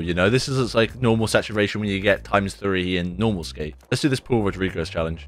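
A man in his twenties, chatting casually over gameplay in his bedroom, his voice a little deeper and rough after a sore throat. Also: fairly bright tone. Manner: chatty, rambling, says "like" and "dude" a lot. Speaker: gaming YouTuber. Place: bedroom at home, indoors.

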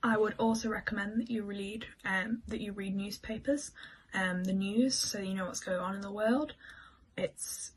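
A woman's voice: mid-range voice, fairly even intonation, moderate pace, slight background noise, poor audio. A young woman, a student giving advice to camera in a room at home. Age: young adult.

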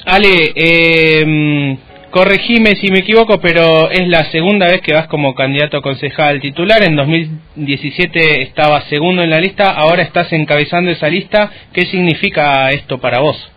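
A man's voice: fairly high pitch, moderate pace, bright, forceful tone, soft background music. A man with a Rioplatense Argentine accent, interviewing conversationally as a radio host.

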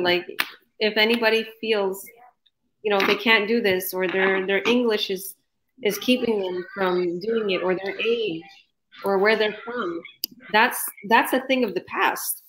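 A woman's voice: mid-range voice, measured pace, noisy background. A young woman with a New Jersey and Toronto accent, hosting a video call interview with enthusiastic, emphatic talk and frequent laughs. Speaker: host and business coach. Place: small room indoors.

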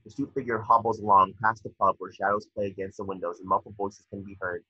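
A man narrating scripted stage directions at a table read, measured with small stumbles, over a video call. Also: fairly low pitch, flat delivery, pushed and bright, slightly rough, no echo. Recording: dull lo-fi video call audio.

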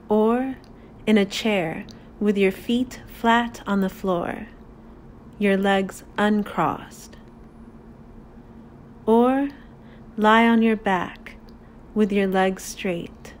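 Woman doing guided meditation, super slow n calm, lots of pauses, bit of background noise. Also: mid-range voice. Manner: calm, deliberate, soothing instruction with long pauses.

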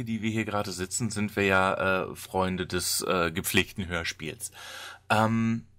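A man's voice: fairly low pitch, bright, forceful tone, no room sound. A man hosting a casual podcast, chatty and articulate. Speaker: podcast host.

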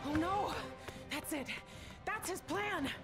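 High, urgent policewoman. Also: takes it slowly. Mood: alarmed. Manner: urgent, authoritative. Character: brisk, dutiful, alarmed female police officer. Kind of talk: animated game cutscene acting. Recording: loud music behind the voice.

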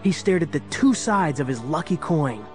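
A man's voice: on the high side, lively intonation, normal speed, a little mellow, faint music behind. A man narrating a scripted story in a dramatic storytelling style.

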